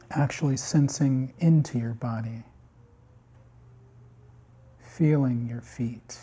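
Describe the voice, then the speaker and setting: A man guiding a meditation in calm, unhurried short phrases with long pauses, his voice slightly husky. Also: mid-range voice. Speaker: meditation guide. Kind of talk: guided body scan meditation.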